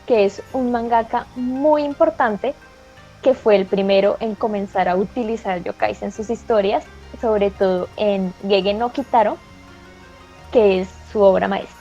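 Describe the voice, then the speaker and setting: A woman's voice: mid-range voice, unhurried, dark tone, faint music behind. A woman from Colombia, co-hosting a talk show, explaining with enthusiastic emphasis. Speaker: co-host. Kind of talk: casual panel chat. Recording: dull lo-fi sound.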